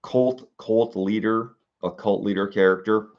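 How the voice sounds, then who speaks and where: Young male streamer, low voice, slightly dull lo-fi sound, casual, halting, reading chat and mulling ideas aloud. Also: steady tone. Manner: musing aloud, reading comments, halting with fillers. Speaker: streamer reading viewer suggestions.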